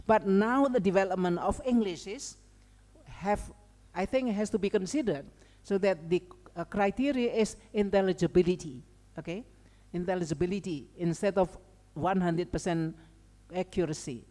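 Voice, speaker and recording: A woman's voice: fairly low pitch, normal speed, warm tone. A woman with an Indonesian accent giving an academic lecture as a seminar speaker, conversational, often checking in with short tags like okay and right. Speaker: seminar speaker and language educator. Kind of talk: academic lecture with informal asides. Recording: long hall reverb.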